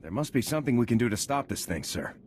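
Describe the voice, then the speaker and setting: An actor playing a steady, resolute commando captain in a video game, confident and brisk, over background ambience. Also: male voice, mid-range voice, slight background noise, natural voice. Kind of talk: video game dialogue acting.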